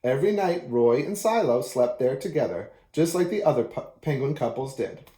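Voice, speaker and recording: A man reading a children's picture book aloud as an expressive storyteller, in an echoey room. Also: mid-range voice, moderate pace.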